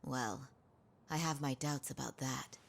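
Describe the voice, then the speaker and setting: Calm professional, very low, creaky, reserved, game drama, faint ambience. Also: female voice, measured pace, full character voice. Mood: thoughtful. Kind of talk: English video game dub, cutscene acting.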